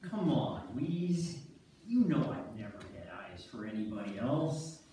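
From far off in a very echoey hall comes a folksy middle-aged husband, played by a man on stage. He is teasing and self-deprecating, speaking slowly with lively pitch. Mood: affable, teasing. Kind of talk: staged reader's theater acting. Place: church sanctuary stage, far camera. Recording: very echoey church hall from far off, faint noise.

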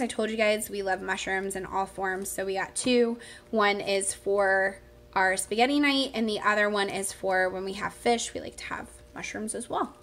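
A woman's voice: fairly high pitch, fairly bright tone. A woman chatting casually as she narrates grocery items for a home vlog, speaking from behind the camera. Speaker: vlogger showing a grocery haul.

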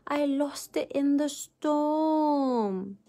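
A woman's voice: high voice, extremely slow, warm tone, pitched above the performer's own voice, full character voice. A woman voicing a polite, weary storybook princess who speaks in a pleading, plaintive way. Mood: sorry. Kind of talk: children's storybook character voice.

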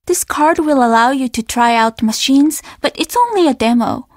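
An actress playing a stern young innkeeper's daughter in a video game, speaking in a measured, formal and easily offended way. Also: female voice, sits high, no echo. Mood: polite, businesslike. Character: guarded, stern young Japanese innkeeper's daughter, polite but curt. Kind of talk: video game character dialogue.

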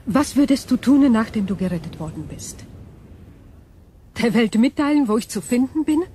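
An actress voicing an ancient, logical female crystal being in a radio drama, speaking in a measured, formal and deliberate way. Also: a little high, lively intonation, warm tone, natural voice. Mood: grave, warning. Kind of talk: German radio drama acting. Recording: clear background noise.

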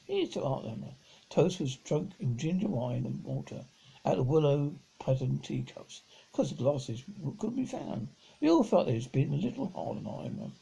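Husky, halting middle-aged man reading aloud. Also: on the high side, dark tone, low-quality recording. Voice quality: husky, gravelly. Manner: halting, stumbles and rereads words. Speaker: amateur audiobook reader. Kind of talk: reading a children's novel aloud. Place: living room at home, on a sofa.

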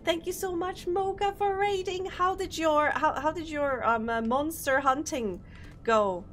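A woman's voice: high-pitched, unhurried, a bit bright, a little hiss or hum. A young woman with a Polish accent, a Polish native speaker chatting bubbly on a gaming live stream and greeting viewers. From Poland. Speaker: VTuber streamer. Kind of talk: casual live-stream chat while gaming.

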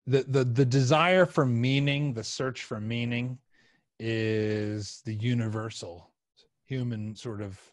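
A middle-aged male podcast host in casual conversation, halting as he searches for words. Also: mid-range voice, fairly even intonation, no echo. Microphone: podcast microphone and headset.

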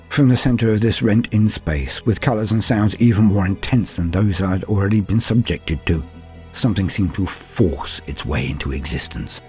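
A man with a very deep, low voice narrates a first-person horror story. His delivery is grave and measured, sinking into hushed lows and swelling at dramatic turns. Soft music plays faintly beneath him. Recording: soft music bed underneath.

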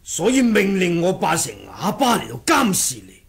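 An actor playing a cunning, composed martial monk in a 1980s radio drama, husky and deliberate in his sly bargaining. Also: high-pitched, very animated, heavily acted. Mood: menacing, taunting. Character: cunning martial monk who feigned muteness, scheming and composed.

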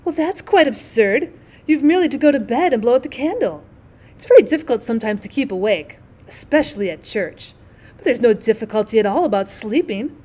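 A woman's voice: a little high, animated, fairly quick, dark tone. A woman voicing a kind, spirited young girl in a storybook, with an earnest, emphatic way of talking. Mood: brisk, matter-of-fact. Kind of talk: storybook character reading.

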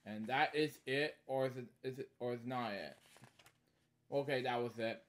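A man in his thirties hosting a bedtime story series, reading a children's book aloud in short halting lines with casual, repetitive asides. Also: medium pitch. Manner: halting, repeating stock phrases between short read lines. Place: small indoor room at home.

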